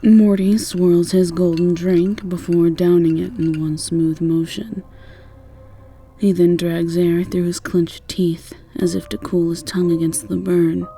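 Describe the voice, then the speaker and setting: A woman's voice: on the low side, little pitch movement, a little slow, warm tone, faint music behind. A woman narrating a scripted fantasy roleplay in the second person, with a wry storyteller's delivery. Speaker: narrator and voice actor.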